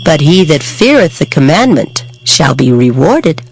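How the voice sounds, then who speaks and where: A reader giving a dramatized scripture reading with expressive, measured declamation. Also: medium pitch, vocal fry, rough recording. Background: background music.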